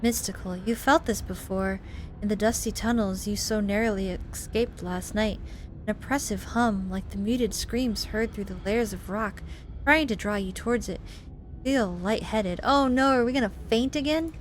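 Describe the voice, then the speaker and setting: A woman's voice: medium pitch, normal speed, a little hiss or hum. A woman doing let's play commentary, reading a visual novel aloud and reacting expressively. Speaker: gaming video host. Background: game music.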